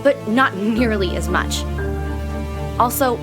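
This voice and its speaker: Headstrong young space traveler played by a woman, slightly high voice, insistent and determined, audio drama with music behind. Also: animated, slow.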